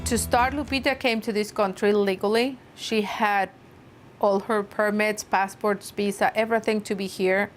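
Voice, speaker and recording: Middle-aged mother, earnest and halting. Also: medium pitch, fairly even intonation, soft background music. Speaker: interviewee, mother. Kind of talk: interview narration.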